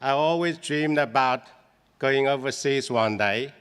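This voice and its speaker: A man gives a formal acceptance speech in a humble, deliberate way. His voice is smooth and resonant, and it rings through a large hall with long reverb. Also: medium pitch, a bit bright. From China. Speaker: honorary graduate giving an acceptance speech.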